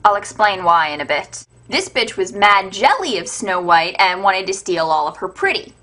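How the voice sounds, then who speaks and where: A woman's voice: mid-range voice, animated, moderate pace, fairly bright tone. A woman host giving an irreverent, sarcastic, sweary comedic retelling of fairytales as scripted narration. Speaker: storyteller and web show host.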